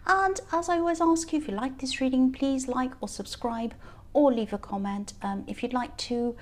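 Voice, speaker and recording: A woman with a slightly high voice, tired and a little croaky, talks through a tarot reading. She rambles, hesitates and restarts, but stays earnest and reassuring. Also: moderate pace, darkish tone. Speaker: tarot reader. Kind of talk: casual tarot reading to camera.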